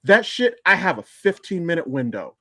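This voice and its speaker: A man's voice: a little high, very animated, normal speed, sharp tone, no echo. American man chatting, close dry sound. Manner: blunt, profane, self-deprecating. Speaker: podcast co-host. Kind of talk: casual chat.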